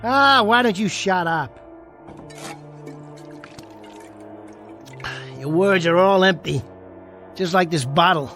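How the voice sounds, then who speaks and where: An actor playing a bitter, boastful small jockey in a radio drama, with an American accent and slangy, defiant streetwise talk over music. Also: male voice, high voice, moderate pace, sharp tone. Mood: irritated, cocky. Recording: music behind the voice.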